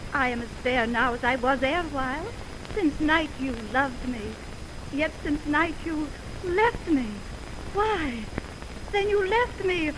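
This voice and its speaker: A woman playing a bewildered, spurned young woman in love, plaintive and theatrical in 1920s radio Shakespeare style. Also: high voice. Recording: noisy 1929 radio broadcast on optical film.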